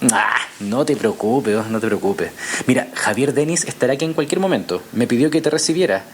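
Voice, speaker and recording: An actor playing a friendly, awkward journalist in an audio drama, rambling and apologetic. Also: male voice, medium pitch, animated, brisk, slight background noise, natural voice. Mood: sheepish, friendly. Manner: flustered, apologetic, rambling with self-corrections. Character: friendly, awkward male journalist who writes crime novels. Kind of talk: naturalistic audio drama acting.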